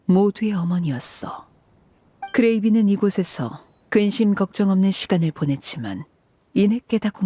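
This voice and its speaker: A voice actress playing a cold, composed woman commander in a video game, speaking in a measured, detached and authoritative way. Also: fairly low pitch, slightly rough, no room sound. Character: cold, composed commanding woman harbinger recounting a grim past. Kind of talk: scripted video game voice acting. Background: soft background music.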